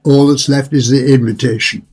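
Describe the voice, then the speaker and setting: An older man playing a suave, controlling restaurant owner in a radio drama, with formal, theatrical politeness and a husky voice. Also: medium pitch, fairly even intonation, moderate pace, a little mellow, lightly acted. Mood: smooth, courtly.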